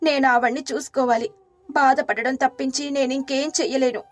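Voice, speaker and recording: A woman narrating a moral story and playing the caring wife, speaking softly and earnestly. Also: high voice, fairly even intonation, normal speed.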